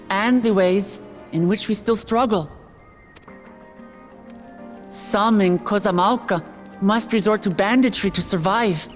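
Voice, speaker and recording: An actress playing an earnest, warm-hearted young woman who has just become ruler, delivering a heartfelt ascension speech in a video game cutscene. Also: on the high side. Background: orchestral game music.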